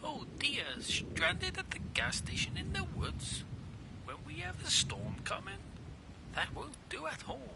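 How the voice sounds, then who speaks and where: A man's voice: sing-song, a little slow, creaky, heavily acted. A man playing a stiff, haughty servant on the telephone in a game, with pompous, formal manners. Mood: fussy concern. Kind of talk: game character acting. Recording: loud rumbling background noise.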